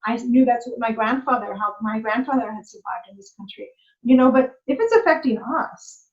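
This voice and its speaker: A woman's voice: on the high side, moderate pace. A woman guest in a personal conversation, reflective and affirming, filling her speech with "like" and "you know". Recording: muffled lo-fi recording.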